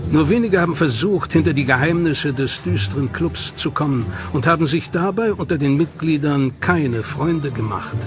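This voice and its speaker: A man narrating a documentary in a measured, dramatic way. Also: on the high side, animated. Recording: narration over loud music.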